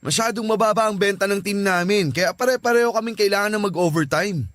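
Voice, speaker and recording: A man's voice: high-pitched, fast, a bit bright, dry recording. A man with a Filipino accent playing a tired, defensive husband and father in a radio drama. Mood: defensive, irritated.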